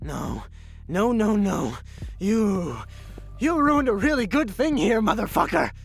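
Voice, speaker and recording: A man's voice: sits high, big pitch swings, slow pace, creaky, husky, soft background music, heavily acted. A man playing a masked sadistic serial killer in an audio drama, cowardly, foul-mouthed and theatrically menacing. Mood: menacing rage.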